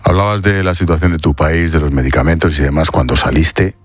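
A man presenting the news in a measured, formal way and interviewing a guest live. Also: extremely low pitch, fairly even intonation, dry recording, soft background music.

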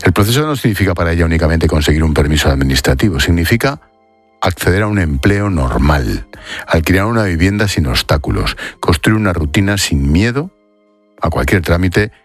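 A man presenting the news in a measured, formal way and interviewing a guest live. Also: low voice, expressive, slightly rough, faint music behind.

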